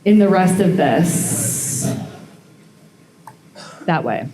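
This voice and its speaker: A woman's voice: lowish voice, very slow. A young woman blacksmith talking casually through a live demonstration, thinking aloud as she works. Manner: relaxed, thinking aloud while working. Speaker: blacksmith giving a demo. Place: forge workshop at a hammer-in event. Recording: echoey workshop with faint ambience.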